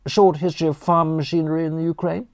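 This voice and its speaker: A man voicing an affable, dim, easily baffled gentleman in an audiobook, chummy and hesitant. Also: a little high, level tone, quick pace, voice pushed up, natural voice. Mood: puzzled, cheerful. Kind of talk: audiobook character dialogue.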